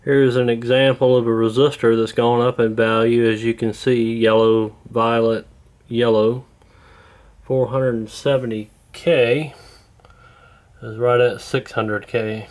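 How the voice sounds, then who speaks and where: A man giving a casual hands-on repair walkthrough, talking in an unhurried, folksy way while he works. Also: mid-range voice, flat delivery, a little mellow. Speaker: host of a radio repair channel.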